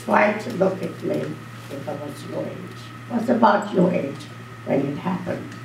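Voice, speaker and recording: A woman's voice: sits low, measured pace, gravelly, a little mellow. An older woman from Austria telling her story earnestly to a school audience as guest speaker. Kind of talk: speaking to students. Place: school auditorium. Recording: echoey hall, distant mic, faint hum.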